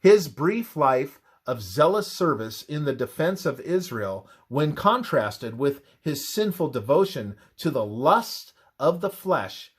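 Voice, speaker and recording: A man reading a scripted religious narration in a measured, expressive manner. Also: a little high, breathy edge.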